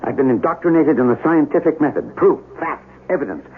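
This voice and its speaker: An actor playing an earnest, agitated scientist who doubts his sanity, with halting, insistent, formal speech in a 1970s radio drama. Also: a little high, expressive, a little hiss or hum. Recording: muffled vintage radio broadcast recording.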